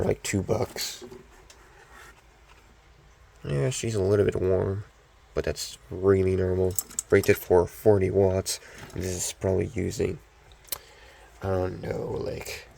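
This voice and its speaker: A young man with a low, gravelly voice, scratchy as he gets over being sick. He chats casually and off the cuff, like a hobbyist showing off a collection. There is some background noise around him. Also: steady tone, unhurried, long pauses, dark tone. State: recovering from illness, scratchy voice. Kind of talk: casual show-and-tell chat.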